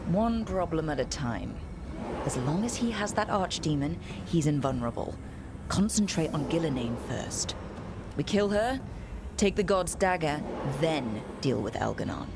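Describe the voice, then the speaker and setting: An actress playing a resolute fantasy team leader in a video game, decisive and commanding. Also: female voice, low voice, lively intonation, a little slow, background noise. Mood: steady resolve. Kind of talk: video game drama acting.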